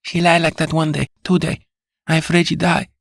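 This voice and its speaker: A man's voice: a little high, monotone, moderate pace, vocal fry, a bit bright, dry recording, clean studio sound, pitched above the performer's own voice. A man voicing a frightened, fiercely loyal young foreign maid who speaks in broken English. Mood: fearful. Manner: broken English, indignant and insistent.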